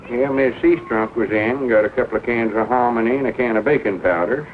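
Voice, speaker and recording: An actor playing an earnest, folksy older storekeeper with a halting rural Southern drawl in a 1940s film comedy. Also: male voice, medium pitch, lightly acted. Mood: resigned, plain. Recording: scratchy, narrow-band 1940 film soundtrack.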